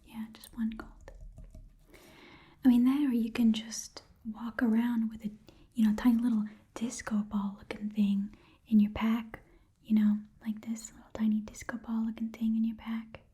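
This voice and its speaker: A woman voicing a shifty, chatty goblin pawnshop keeper in an ASMR roleplay, whispering breathily in a rambling, hesitant way and repeating phrases softly. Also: a little high, level tone, normal speed, breathy edge. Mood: reluctant bargaining. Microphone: close ASMR microphone.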